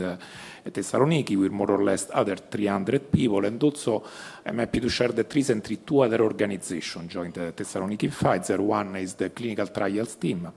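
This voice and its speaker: A man from Italy with an Italian accent, speaking as a panelist at a business forum and pausing often on fillers. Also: on the low side, gravelly, a little mellow, breathy edge. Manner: hesitant, many fillers, non-native phrasing. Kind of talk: panel discussion. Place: club event hall.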